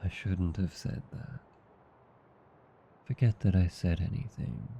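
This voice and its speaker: Man acting a deep, gravelly Australian vampire husband. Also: takes it slowly, muffled recording, slight background noise, full character voice. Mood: sheepish, fond. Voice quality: low, gravelly, husky. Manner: languid, soft, tender murmuring. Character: affectionate, drowsy newlywed male vampire husband. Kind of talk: intimate ASMR roleplay.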